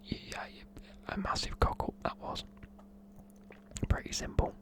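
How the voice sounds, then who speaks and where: A person with a British accent whispering informal, chatty, matey explanations in an ASMR style. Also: measured pace. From United Kingdom. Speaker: presenter explaining slang.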